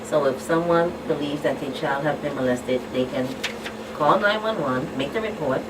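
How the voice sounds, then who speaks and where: Low-voiced woman explaining steps, noisy background. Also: moderate pace. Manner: plain, step-by-step, instructional. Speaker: guest expert from social services. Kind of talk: explaining procedure on radio. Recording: distant mic with clear background hum.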